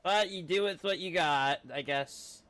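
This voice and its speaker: A man's voice: high-pitched, measured pace, fairly bright tone, no echo. A man giving casual live-stream commentary while playing a video game. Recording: faint game music behind. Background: game audio with music.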